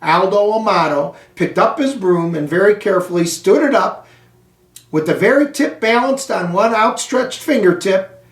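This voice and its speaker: Distant, echoey man reading a lively children's story. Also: high voice, moderate pace. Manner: theatrical, dramatic emphasis on action words. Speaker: school superintendent reading aloud to pupils. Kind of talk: expressive children's storybook narration. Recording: roomy echo, distant microphone.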